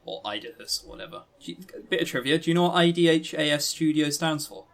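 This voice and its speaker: A man co-hosting a podcast in casual chat, with a sarcastic, sweary, animated way of talking. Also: a little high, fast. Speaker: podcast co-host. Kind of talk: casual chat reviewing visual novels.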